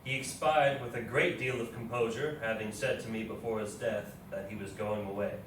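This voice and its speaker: A man's voice: on the low side, fairly even intonation, normal speed, fairly bright tone, close to an everyday voice. Man playing a plain-spoken explorer, very echoey. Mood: solemn. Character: explorer William Clark, practical plain-spoken army officer in his thirties. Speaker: local stage actor. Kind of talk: readers theatre, reading journal entries aloud. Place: live readers theatre performance in an echoey indoor space. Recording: very echoey room, distant mic, faint noise.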